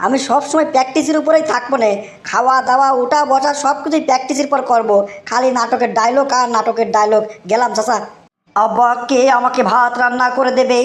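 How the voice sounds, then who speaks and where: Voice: high voice, a bit bright, roomy sound. An actor voicing a swaggering young village tough in a comic cartoon, declaiming like a folk-theatre hero with a rural Bangladeshi accent. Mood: eager.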